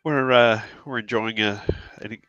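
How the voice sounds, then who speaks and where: A man in his forties with a New Zealand accent, a guest speaking in a relaxed, halting way over a headset on a video call. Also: medium pitch, clean tone, no room sound, muffled recording, faint music behind. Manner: relaxed, halting, many fillers. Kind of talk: casual video-call interview. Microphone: headset mic on a video call.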